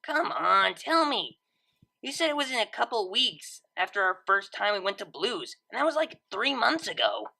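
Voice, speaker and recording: A woman's voice: medium pitch, big pitch swings, moderate pace, gravelly, sharp tone, pitched above the performer's own voice, heavily acted. A woman voicing a brash, playful young female unicorn DJ who talks in a casual, slangy, teasing way. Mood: teasing, insistent. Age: young adult.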